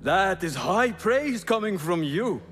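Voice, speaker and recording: Smug villain officer: fairly high voice, leisurely and mocking, for game cutscenes. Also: male voice, sing-song, slow pace, fairly bright tone, soft background music, full character voice. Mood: smug, teasing. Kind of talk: dramatic video game acting.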